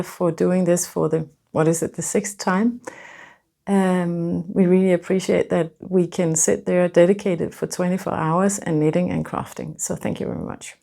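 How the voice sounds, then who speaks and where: Danish woman in her fifties, hesitant knitting host, low gravelly mellow voice. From Denmark. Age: 50s. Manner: hesitant, many fillers and restarts. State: nervous. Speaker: knitting podcaster. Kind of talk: casual vlog presentation.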